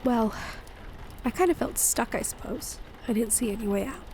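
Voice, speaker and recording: A woman playing an affectionate, playful wife and mother in an intimate audio roleplay, speaking in a gentle, teasing way. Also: a little high, expressive, moderate pace, a little hiss or hum, close to an everyday voice, poor audio. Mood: reflective.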